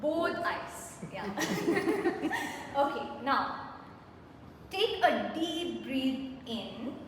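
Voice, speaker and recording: A woman's voice: high voice, big pitch swings, extremely slow. A woman presenting a self-care workshop to a live audience, engaging them with questions and guiding a mindfulness exercise. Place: large hall with an audience. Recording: echoey hall, mic far off, faint noise.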